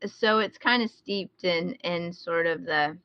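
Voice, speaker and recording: Low-pitched American woman, dry dull audio. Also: unhurried, no room sound. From rural Midwest United States. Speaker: podcast co-host. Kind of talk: casual podcast recommendation chat.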